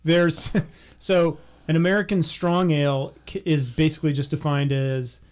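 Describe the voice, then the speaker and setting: A man's voice: fairly high pitch, steady tone, moderate pace, a little mellow, clean tone. A man co-hosting a casual panel podcast, chatting informally. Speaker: podcast co-host. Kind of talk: casual panel chat about beer.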